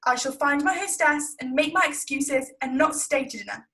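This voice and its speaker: Young woman playing a haughty high-pitched society gossip. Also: normal speed, pushed and bright. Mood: prim, accusing. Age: young adult. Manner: haughty, insinuating, theatrical. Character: meddling, self-righteous society gossip, a married woman. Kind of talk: stage play acting.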